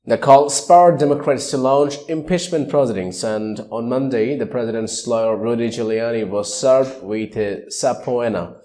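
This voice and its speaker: A man's voice: mid-range voice, normal speed, few pauses, dark tone. A man reading scripted news narration as a news reader.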